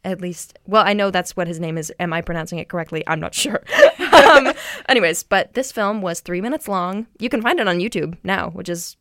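A young woman co-hosting a podcast, walking through her research in a rambling, hesitant way with many ums and quick laughs. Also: fairly low pitch, expressive, a bit bright, no room sound, studio quality. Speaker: podcast co-host presenting research. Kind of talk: casual chat.

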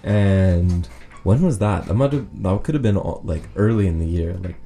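A man co-hosting a radio show in casual, unscripted chat, speaking haltingly and restarting his phrases. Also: deep voice, warm tone. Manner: halting, repeats phrase openings. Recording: faint background noise.

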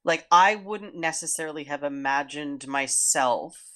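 A woman speaking as an interview guest, talking in a thoughtful, measured way about her work. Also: low voice, a bit bright. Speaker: guest, actor. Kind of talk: reflective interview conversation.

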